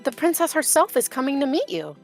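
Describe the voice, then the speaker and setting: A man's voice: very high voice, big pitch swings, a little fast, vocal fry, soft background music, voice pushed up, heavily acted. A man voicing a prim, earnest young female unicorn pony who talks in a fussy, officious way. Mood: flustered.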